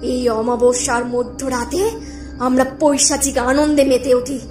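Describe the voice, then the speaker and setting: A high, echoing voice of a ghastly young girl played by a woman, eerie and commanding. She beckons with menace, in horror audio drama style over loud music. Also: lightly acted. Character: frightful ghostly ten-year-old girl with claws, menacing, luring. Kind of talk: horror audio story acting.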